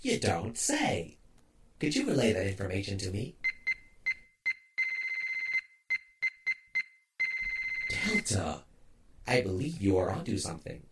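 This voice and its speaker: A man playing an earnest, measured engineering robot in a sci-fi audio drama. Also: deep voice, wildly swooping pitch, far from the mic, very noisy. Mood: dry, friendly. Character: steady, earnest male engine-room robot, senior partner. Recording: off-mic, loud music behind.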